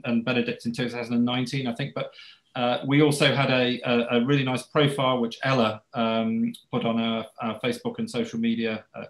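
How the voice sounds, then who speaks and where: A man chairs a meeting over a video call, his voice at an ordinary pitch and bouncing around an echoey room. He talks hesitantly, stumbling over repeated words and filling pauses with uhs. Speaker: chairman of a society.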